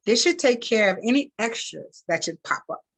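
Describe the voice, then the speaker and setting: Woman reading as a gentle, hesitant father. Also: a little high, lively intonation, normal speed, slightly rough, heavily acted. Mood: insistent. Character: weary, reassuring father hiding the truth.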